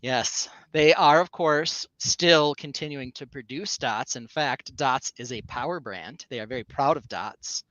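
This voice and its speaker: A man's voice: mid-range voice, measured pace, hardly any pauses, fairly bright tone, no echo, rough recording. A man co-hosting a casual live stream, rambling along with plenty of fillers and restarts. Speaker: co-host.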